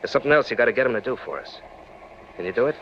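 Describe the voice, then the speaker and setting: An actor playing a tough, cocky small-time crook with slangy, hard-boiled delivery in 1940s radio style. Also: male voice, mid-range voice, expressive, rapid-fire, close to an everyday voice. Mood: smug, wary. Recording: muffled, noisy 1940s radio recording.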